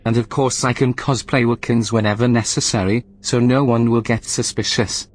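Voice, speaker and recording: Menacing male voice, played by a man, loud and hard. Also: mid-range voice, steady tone, moderate pace, lightly acted. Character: cruel man's voice imitated by an AI.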